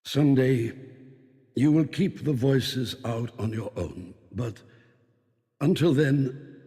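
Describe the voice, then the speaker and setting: An actor with a foreign accent playing an old, mysterious telepathic man who talks slowly and formally. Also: medium pitch, dark tone, in a large hall, close to an everyday voice. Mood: gentle. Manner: slow, measured, formal speech.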